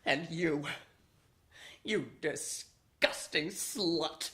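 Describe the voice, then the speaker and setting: An actress playing a jealous, imperious commander's wife in an audio drama, commanding and scornful. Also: female voice, low-pitched, extremely slow, fairly bright tone, reverberant, exaggerated voice. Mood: furious, wounded.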